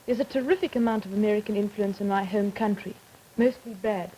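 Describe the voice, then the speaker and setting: A young woman in her late teens from Africa, answering briefly and softly as a student delegate. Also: mid-range voice, fairly even intonation, a little fast, a little hiss or hum, low-quality recording. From South Africa.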